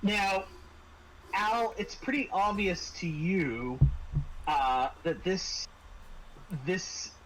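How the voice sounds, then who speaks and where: Guy game mastering a tabletop rpg, kinda high voice, feels out his descriptions, bit of noise. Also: lively intonation, extremely slow, pauses often, fairly bright tone, rough recording. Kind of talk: narrating a tabletop game, improvised.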